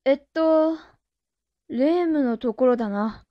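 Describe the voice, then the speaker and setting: A woman's voice: high-pitched, takes it slowly, no room sound, dull audio. A woman playing a naive, eager young witch girl, earnest and awkwardly half polite, half boyish, in a comic anime voice drama. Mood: unsure.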